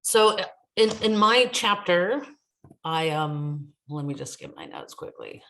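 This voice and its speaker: An American woman giving an academic talk over a video call, thinking aloud with many pauses and ums. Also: sits low, huge pitch swings, creaky, a bit bright. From United States. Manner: thoughtful, hesitant, many ums. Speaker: academic guest speaker. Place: home in New Zealand.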